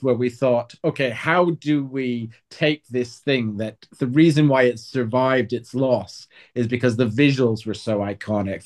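A man's voice: medium pitch, a little mellow. Earnest, wry man in conversation. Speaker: author and guest. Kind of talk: conversational interview answers.

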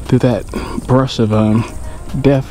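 A man's voice: mid-range voice, steady tone, takes it slowly, warm tone, soft background music. A man in his forties talking casually to viewers while hiking a trail, chatty and jokey, calling them y'all. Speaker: fishing vlogger. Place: outdoors on sandy trails in scrubland. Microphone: chest-mounted action camera.

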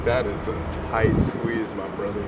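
A man in his twenties chatting casually and excitably as he vlogs an exploration outdoors, often joking. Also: lowish voice, measured pace, heavy background noise. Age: 20s. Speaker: vlogger. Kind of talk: casual exploring vlog chat. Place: outdoors in an industrial yard, moving. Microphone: handheld camera at close range. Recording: music over outdoor handheld audio. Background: background music.

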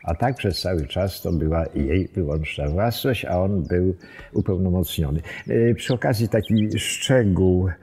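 Very deep, mellow elderly man. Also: slightly rough. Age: 70s. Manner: hesitant, halting, wry asides. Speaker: villa owner telling its history. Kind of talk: informal storytelling to camera, reminiscing. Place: open wooden veranda outdoors among trees. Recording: outdoor background noise, birdsong, small clicks.

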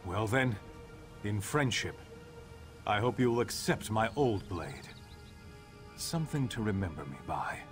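Casting: weary, loyal game warrior; lowish voice, earnest and unhurried, over clear music. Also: male voice, expressive. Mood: solemn, warm farewell. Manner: solemn, measured, earnest. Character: noble weary male warrior, loyal and solemn. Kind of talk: scripted game character dialogue. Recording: music behind the voice.

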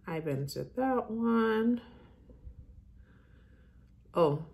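A woman's voice: a little high, lively intonation, very slow, gravelly, darkish tone. A middle-aged woman with a Haitian accent tinged with Southern US, chatting casually and thinking aloud as she answers viewer questions. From Haiti, moved to the US as a child and grew up in Florida. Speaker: YouTuber. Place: room in a house, indoors.

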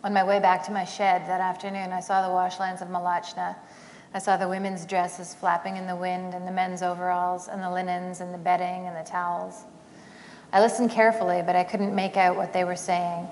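Low-voiced woman reading in a hall. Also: completely flat delivery, a little fast, darkish tone. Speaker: author reading. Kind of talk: reading aloud from a novel. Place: theater stage, seated in armchair. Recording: long hall reverb, faint noise.

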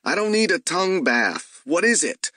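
A man's voice: high voice, big pitch swings, normal speed, sharp tone, no room sound, studio quality, voice pushed up, full character voice. A man voicing an exasperated, sarcastic male detective in an audiobook, with indignant delivery. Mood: irritated.

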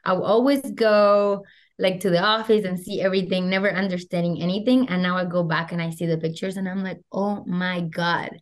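A woman's voice: fairly low pitch, fairly quick. A young woman from Venezuela with a Venezuelan Spanish accent, chatting animatedly as a podcast guest over a video call, full of "like" fillers. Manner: animated, gestures a lot, many "like" fillers. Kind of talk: casual interview chat. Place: small room at home. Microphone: video call webcam.

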